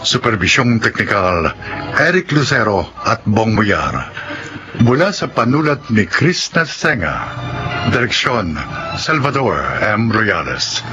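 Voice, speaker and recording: A man's voice: lowish voice, big pitch swings, takes it slowly, muffled recording. A man with a Filipino accent, announcing a radio drama from a script. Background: music.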